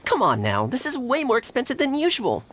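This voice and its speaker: A woman's voice: a little high, huge pitch swings, fairly quick, fairly bright tone, heavily acted. A woman playing an insistent, haggling festival shopper in a video game. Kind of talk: video game character acting.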